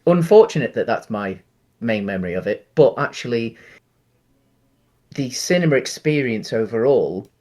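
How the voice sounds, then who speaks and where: A man's voice: medium pitch, lively intonation, normal speed, long pauses, a little mellow. A man, chatting casually as a podcast co-host and thinking aloud in a rambling, hesitant way. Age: late 30s. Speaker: podcast co-host reviewing films. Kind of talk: casual chat.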